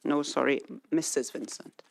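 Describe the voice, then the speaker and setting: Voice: mid-range voice, steady tone, normal speed, vocal fry, slight background noise. A meeting chair keeping a council meeting moving with brief, procedural cues. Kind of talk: formal chairing.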